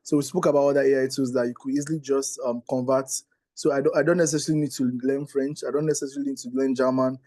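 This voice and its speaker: A man with a Nigerian accent and a soft, relaxed tone reports back from a workshop he helped lead. He talks conversationally and often tags his points with small checking questions. The sound is dry. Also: medium pitch, fast talker, no echo. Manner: conversational, often adding "right?" and "like". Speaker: workshop breakout facilitator. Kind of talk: informal spoken session report.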